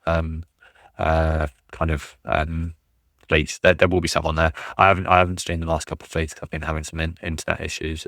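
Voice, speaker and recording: A man fielding questions in a casual livestream, rambling with many ums and restarts in a low voice. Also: fairly bright tone, dry recording, clean studio sound. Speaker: fleet commander answering questions.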